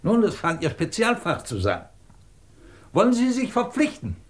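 A man's voice: sits high, huge pitch swings, normal speed. A man acting a middle-aged, imperious and sardonic British professor who runs a research department, in a commanding 1950s German radio drama style. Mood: brisk, superior. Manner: commanding, clipped, probing, sardonic.

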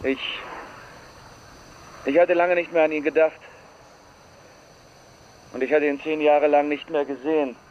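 An actor playing a haunted, wistful man speaking haltingly on an answering machine tape in a German crime radio play. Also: a little high, steady tone. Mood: haunted, remembering. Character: haunted man leaving a taped phone confession. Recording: dull answering machine tape, faint car noise.

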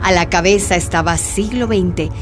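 A woman narrating a scripted radio docudrama in a measured, declarative manner. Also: fairly low pitch, loud music behind the voice.

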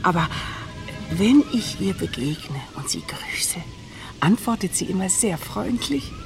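A woman's voice: medium pitch, wildly swooping pitch, measured pace, whispered, over music. An actress playing a mild, discreet, evasive village woman in a radio drama, speaking in a hesitant, placating way.

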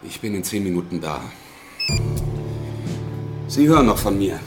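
A man's voice: low-pitched, big pitch swings, normal speed, loud music behind the voice. An actor playing a dry, dogged, terse male police inspector in a radio crime drama. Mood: probing, insistent. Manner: terse, probing.

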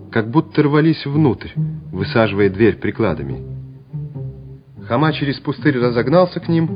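A male narrator tells a war story for a radio play in a dramatic, scripted delivery. His voice sits at a middle pitch over clear music, and the old recording sounds somewhat muffled.

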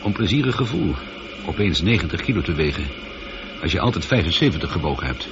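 Low-voiced, wry astronaut. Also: male voice, big pitch swings, fast. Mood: uneasy. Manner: wry, musing. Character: male lone astronaut, wry, slightly uneasy. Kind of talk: radio drama acting. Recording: dull, slightly noisy old radio recording.

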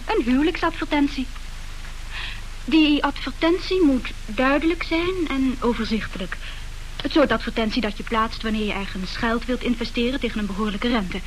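A woman acting a merchant's young daughter in a radio play. Her voice is fairly high, her manner resolute and coolly businesslike, every point clearly set out. Faint hiss on an old recording. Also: normal speed, close to an everyday voice, low-quality recording. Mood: brisk, businesslike. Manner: decisive, matter-of-fact, articulate.

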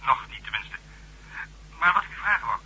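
A businesslike building contractor in a radio play. The voice is rough and husky with a hard, piercing edge, pitched a little high. He speaks formally and gravely, as a bearer of bad news. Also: very animated, bright, forceful tone, exaggerated voice, low-quality recording. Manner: formal, measured, serious. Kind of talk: radio drama acting.

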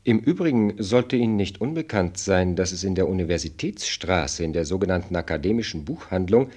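An older man acting a shrewd, courtly minister of state in a radio play, speaking in measured, ornate, dryly pointed phrases. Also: low-pitched, expressive, darkish tone. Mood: courteously pointed.

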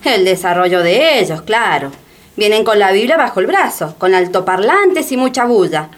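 Actress as an animated, impassioned woman denouncer. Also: mid-range voice, normal speed, close to an everyday voice. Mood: scornful. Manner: emphatic, rhetorical. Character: impassioned, well-informed woman denouncing plunder. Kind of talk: radio drama dialogue, explanatory.

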